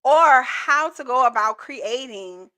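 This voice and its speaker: A woman with a high, bright and forceful voice leads a livestream lesson with lots of energy. She stays playful and chatty and talks straight to her viewers. She keeps her audience moving along like a coach. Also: animated, slow pace. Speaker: government contracting coach.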